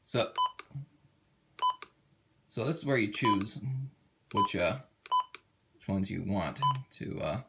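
A man with a low voice and lively inflection walks through a how-to in a casual, unscripted way. He speaks haltingly, thinking aloud as he goes. The home recording is very noisy, made indoors at a table. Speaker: product demonstrator. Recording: very noisy home video, clicks and beeps.

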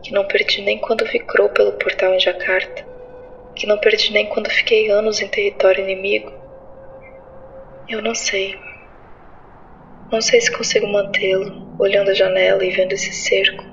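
Woman acting grave, creaky-voiced war-weary soldier. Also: mid-range voice, little pitch movement, moderate pace, long pauses, fairly bright tone, highs cut off, a little hiss or hum. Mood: despairing. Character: weary soldier Drax, somber, losing hope under siege. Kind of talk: audio drama narration and dialogue.

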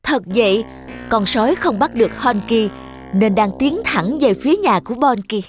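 Female narrator for a children's fairy tale, slightly high voice, music playing behind. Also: lively intonation, normal speed. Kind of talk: scripted children's story narration.

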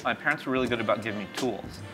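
A man's voice: medium pitch, racing, music in the background. A middle-aged man from East Texas, born in Mexico, talking in a casual interview in a small café, rambling with many fillers and restarts. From born in Mexico, raised in East Texas. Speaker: startup founder and CEO, interview guest. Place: small café by a street window.

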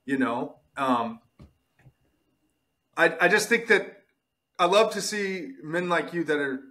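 A man's voice: fairly high pitch, animated, takes it slowly, sharp tone. Earnest, emphatic man hosting a podcast. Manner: earnest, emphatic, repeats points, slangy with "bro" and "dude". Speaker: podcast host. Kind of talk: casual motivational podcast talk.

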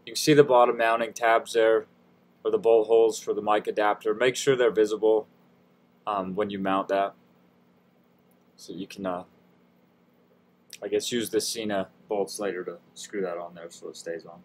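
A man with a fairly low voice talks through a hands-on tutorial as a voice-over. His delivery is informal and folksy, with a self-deprecating, easygoing way of explaining each step. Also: level tone, normal speed, long pauses, a little hiss or hum. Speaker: DIY tutorial host. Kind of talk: casual how-to voice-over narration.

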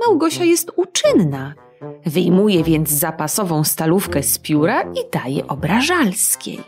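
Brief: woman narrating a kids' fairy tale, leisurely and very melodic, soft music under the voice. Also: lowish voice, measured pace. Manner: expressive, sing-song storytelling. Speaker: storyteller. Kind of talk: children's audiobook narration.

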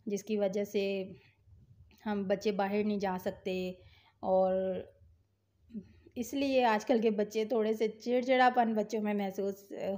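Mellow-voiced woman chatting in a vlog. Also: medium pitch. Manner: chatty, unhurried, with fillers. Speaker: vlog host. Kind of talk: casual vlog voice-over.

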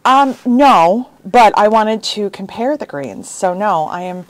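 Thirties woman, chatty shop owner on a live stream, quick to laugh. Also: mid-range voice, lively intonation, dry recording, low-quality recording. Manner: chatty, laughs often, jumps between topics. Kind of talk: casual live stream chat. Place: indoor sewing shop studio with bags on shelves.

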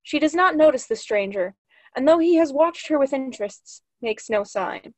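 A woman's voice: sits high, expressive, moderate pace, darkish tone, dry recording. A young woman reading stage directions as scripted narration. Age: young adult. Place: small lit room at home, on a video call.

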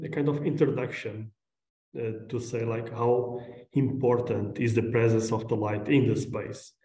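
A man in his forties with a Portuguese accent, giving an informal online lecture in a halting, hesitant way. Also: mid-range voice, warm tone. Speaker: guest lecturer, architect. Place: indoors on a video call, roomy sound. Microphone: video call at desk distance. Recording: roomy, echoing video-call sound.

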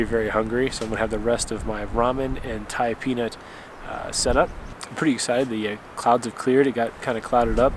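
A man in his thirties with an American accent, chatting casually and rambling to camera as an outdoor vlogger. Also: mid-range voice. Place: outdoors in mountain wilderness. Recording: outdoor ambient noise, wind.

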